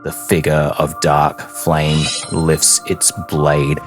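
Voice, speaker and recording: A man narrating a tabletop fantasy game as game master, deliberate and portentous, with long dramatic pauses. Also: very deep voice, monotone, faint music behind.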